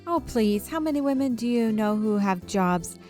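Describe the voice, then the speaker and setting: Female game streamer, expressive story reader, casual commentary, music in the background. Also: on the high side, normal speed. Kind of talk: reading visual novel text aloud with casual asides.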